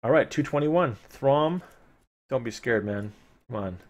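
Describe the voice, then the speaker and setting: A man's voice: mid-range voice, lively intonation, measured pace, muffled recording. A man streaming a live read-aloud of a fantasy gamebook, dramatic in the narration and informal in his asides. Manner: playful, informal, dramatic when reading.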